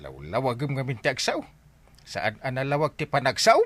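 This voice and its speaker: Very animated, blustery Ilocano village captain. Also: male voice, mid-range voice, normal speed, dry recording. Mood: blustery, joking. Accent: Filipino, Ilocano. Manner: excitable, argumentative, hemming and chuckling. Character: Enteng, blustery comic village captain fond of drink. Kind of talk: broadcast comedy radio drama acting.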